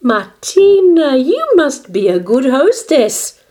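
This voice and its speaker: Woman as an insistent old grandmother, high and loud. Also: very animated, slow pace, full character voice. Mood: scolding, insistent. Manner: commanding, calling out. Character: insistent, scolding old Cuban grandmother cockroach.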